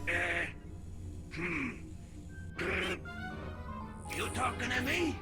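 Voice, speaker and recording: A cocky, dim-witted little robot henchman in an animated game. The voice is extremely high and bounces up and down with brash, wisecracking cheek. Music plays underneath. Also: very slow, exaggerated voice. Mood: dim, huffy. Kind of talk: animated video game cutscene acting.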